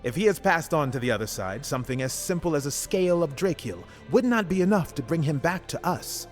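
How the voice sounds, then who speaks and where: An actor playing a grave commander of dragon hunters in a fantasy game, speaking formally and solemnly in measured phrases. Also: male voice, fairly high pitch, animated, faint music behind, lightly acted. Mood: grave, resolute. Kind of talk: scripted fantasy game cutscene acting.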